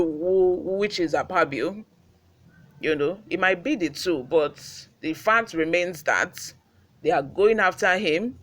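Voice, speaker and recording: A man's voice: fairly high pitch, a bit bright. A man with a Nigerian accent, hosting a news channel with read reports and informal commentary.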